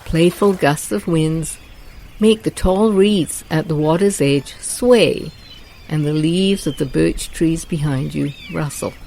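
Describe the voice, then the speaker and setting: Slow, soft-spoken woman guiding meditation. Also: low voice, gravelly, a little hiss or hum, low-quality recording. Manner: slow, soft, soothing delivery. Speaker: meditation guide. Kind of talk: guided meditation narration.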